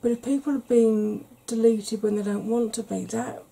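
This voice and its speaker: A middle-aged woman chatting softly in a rambling, gentle way for an ASMR makeup vlog, seated in a small room at home. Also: on the high side, fairly even intonation, moderate pace, creaky, breathy edge. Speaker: beauty YouTuber. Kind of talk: soft-spoken ASMR makeup chat.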